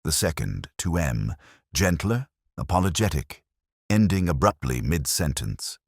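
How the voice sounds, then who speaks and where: Very deep, brooding actor's detective narrator. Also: male voice, very animated, gravelly, husky, no room sound, clean studio sound, exaggerated voice. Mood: brooding, reflective. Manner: measured, literary, brooding delivery. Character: melancholy, reflective male English police inspector narrating his case. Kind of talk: scripted first-person detective audio drama narration.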